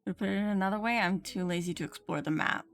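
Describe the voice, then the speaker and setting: A woman's voice: mid-range voice, fast talker. A woman streamer giving casual, playful and teasing commentary while she plays a game. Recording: game music under the voice. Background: game music.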